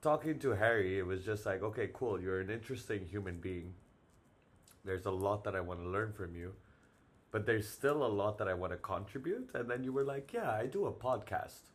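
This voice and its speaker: A man's voice: fairly low pitch, expressive, a little fast. A man chatting as a podcast guest, laid-back and casually swearing.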